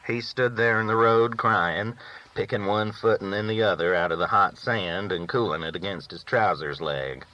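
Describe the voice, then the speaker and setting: A man's voice: fairly low pitch, normal speed, pushed and bright. A man reading a novel aloud as a first-person storyteller, with folksy rural phrasing, on a dull recording. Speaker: audiobook reader.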